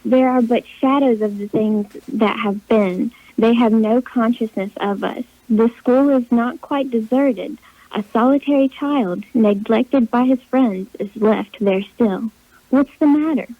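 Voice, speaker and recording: A woman playing a solemn, commanding spirit in a radio play, speaking in measured archaic phrases through a telephone effect. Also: a little high, no room sound. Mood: gentle, probing. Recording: thin, band-limited phone-line sound.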